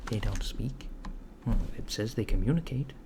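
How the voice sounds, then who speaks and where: A man playing a curious, puzzled alien researcher in a soft-spoken ASMR roleplay, halting and matter-of-fact, often slipping into whispers. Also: lowish voice, lively intonation, close to an everyday voice. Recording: clear background noise with keyboard typing. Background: computer keyboard typing.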